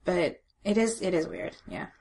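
A woman in a casual podcast discussion, searching for her words in a halting way. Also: fairly low pitch, lively intonation, gravelly, darkish tone.

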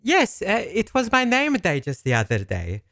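A halting, hesitant language-school manager, voiced by a man in a comedy audio drama. The voice leaps all over in pitch and is recorded dry and close. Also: wildly swooping pitch, creaky, bright, forceful tone, no room sound, full character voice.

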